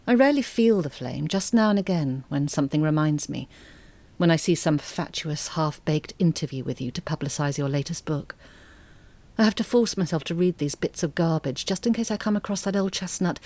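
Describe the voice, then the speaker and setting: A woman playing an articulate, bitter middle-aged mother in an audio drama monologue, speaking in a measured, intimate way. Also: on the low side, dark tone. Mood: simmering resentment. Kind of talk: dramatic monologue, letter read as confession.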